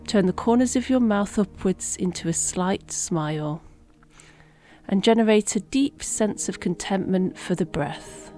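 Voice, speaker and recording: Over relaxing music, a woman leads a guided meditation at a very slow pace. Her voice sits a little low, and she delivers each phrase gently, with long pauses.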